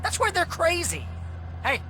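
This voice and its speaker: An actor playing an excitable, sarcastic imaginary best buddy in a video game, needling and flippant. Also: male voice, very high voice, unhurried, slight background noise, full character voice. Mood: excited teasing. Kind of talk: animated video game dialogue.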